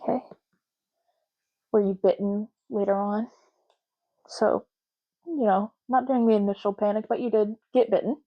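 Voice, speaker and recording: A soft-spoken woman doing an ASMR roleplay as a clerk, talking casually and matter-of-factly in unhurried short phrases with pauses. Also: mid-range voice, steady tone, muffled recording. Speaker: ASMR roleplay host, playing an intake clerk making ID cards.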